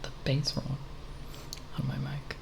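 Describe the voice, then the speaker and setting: An ASMR creator speaking softly and breathily, half whispering in a halting, unscripted ramble with long pauses, close to the microphone. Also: medium pitch, completely flat delivery, background noise. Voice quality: soft, breathy, partly whispered.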